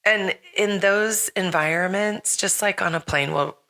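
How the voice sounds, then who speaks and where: A middle-aged woman hosting a podcast in casual chat, her voice a bit raspy after being sick, rambling with fillers and restarts. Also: mid-range voice, a little slow, sharp tone. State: slightly raspy from recent illness. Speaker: podcast host.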